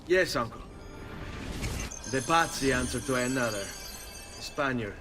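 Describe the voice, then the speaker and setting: A man's voice: mid-range voice, unhurried, lightly acted. A man with an Italian accent playing a proud, vengeful young nobleman turned assassin in a video game. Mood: grim, resolved. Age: young adult. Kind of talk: video game cutscene acting. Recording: loud game music behind.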